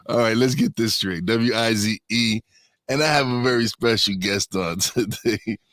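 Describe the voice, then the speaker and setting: A man's voice: mid-range voice, measured pace, a bit bright, no echo, studio quality. A man hosting a podcast in a casual, chatty interview style. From New York, USA. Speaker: podcast host.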